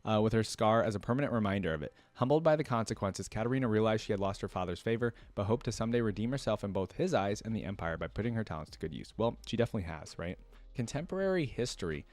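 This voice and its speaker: Guy with a lowish voice doing casual reaction commentary, reads stuff out and muses on it, dry close sound. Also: lively intonation, fast talker, a little mellow, no room sound. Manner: chatty, musing asides while reading. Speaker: reaction video host. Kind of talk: casual commentary, reading aloud from wiki trivia.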